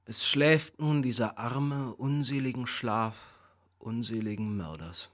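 A man's voice: mid-range voice, slow, fairly bright tone. A man playing a grave tragic reciter in a radio play, delivering verse with weight.

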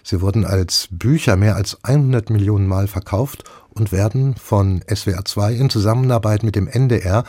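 A man's voice: lowish voice, normal speed, hardly any pauses, dark tone, no echo. A man hosting a radio book programme, presenting reviews and putting questions to a critic. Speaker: radio host.

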